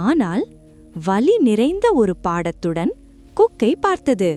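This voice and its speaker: A woman narrating a scripted children's story in short, deliberate phrases. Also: a little high, mellow.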